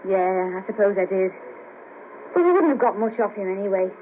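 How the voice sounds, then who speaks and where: A woman's voice: mid-range voice, animated, brisk, phone-quality sound, natural voice. An actress playing a chirpy, bawdy, down-on-her-luck streetwalker of about thirty with a Liverpool accent, in a colloquial 1970s radio play. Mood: rueful, cheeky. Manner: colloquial, cheeky, earthy. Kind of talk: 1970s radio drama acting. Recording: patchy noisy cassette of 1970s radio broadcast.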